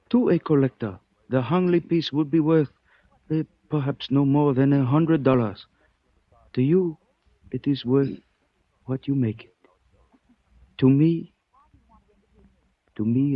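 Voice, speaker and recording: An actor playing a calm, courteous Chinese antique shopkeeper who speaks formally and philosophically in a 1940s radio drama. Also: male voice, mid-range voice, a little slow, long pauses, dry recording, lightly acted. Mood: polite, firm. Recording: dull old 1940s radio recording.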